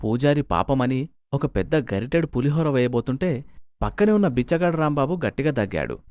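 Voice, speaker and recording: A man's voice: mid-range voice, lively intonation, a little fast, darkish tone, no room sound, studio quality. A man narrating a scripted moral story as its storyteller.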